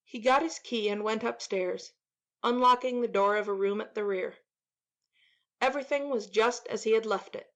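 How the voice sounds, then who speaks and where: A woman's voice: a little high, moderate pace, a bit bright, highs cut off. A woman reading a classic story aloud as an audiobook narrator, in scripted narration.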